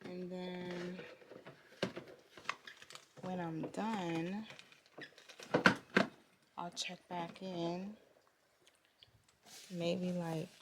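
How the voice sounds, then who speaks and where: A woman costume designer talking casually through a vlog, low-key and tired from long late-night work. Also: gravelly, very noisy. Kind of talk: casual vlog talk and voice-over. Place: theater at night.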